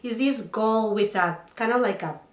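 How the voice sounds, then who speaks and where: Young woman beauty vlogger, slightly raspy from a cold, chatty and enthusiastic, echoey home room. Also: on the high side, moderate pace. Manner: chatty, enthusiastic, rambling with fillers. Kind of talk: casual unboxing chat.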